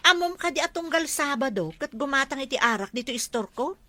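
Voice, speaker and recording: High, animated, gossipy Ilocano village woman, acted. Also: fairly quick, dry recording. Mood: prying. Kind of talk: Ilocano comedy drama acting.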